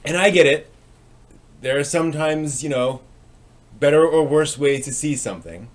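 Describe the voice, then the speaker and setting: A man hosting a live stream in a casual, chatty way, with a halting, hesitant delivery that tends to trail off. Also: fairly high pitch.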